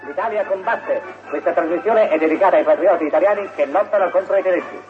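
A man's voice: high-pitched, fast talker. A man making a declamatory station announcement in an old radio broadcast. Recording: tinny old radio recording with music.

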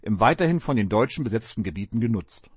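A man presenting a scripted radio history segment in a friendly, clear way. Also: on the low side, lively intonation, fast talker, no echo.

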